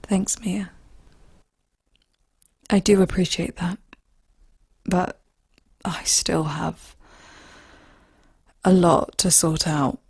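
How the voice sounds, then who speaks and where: Troubled sister, female actor: very slow, halting delivery, gravelly and low, subdued. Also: steady tone, a little mellow, no echo, clean studio sound, heavily acted. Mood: subdued, hesitant. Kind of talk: science fiction audio drama acting.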